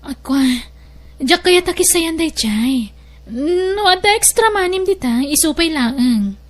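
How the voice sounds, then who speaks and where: A woman's voice: extremely high pitch, expressive, measured pace. A woman acting a money-minded, coaxing and demanding woman in a family drama. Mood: asking, wheedling. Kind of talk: radio-drama style acted dialogue.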